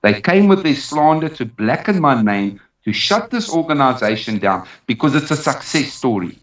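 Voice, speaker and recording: A man's voice: medium pitch, very animated, normal speed. A man with a South African accent speaking as an interview guest, giving an emphatic personal account and repeating phrases for weight. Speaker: interview guest, dog trainer. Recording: roomy, distant, dull sound.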